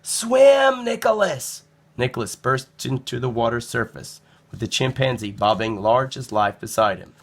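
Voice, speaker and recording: A man narrating a children's storybook in an expressive read-aloud style. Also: mid-range voice, normal speed.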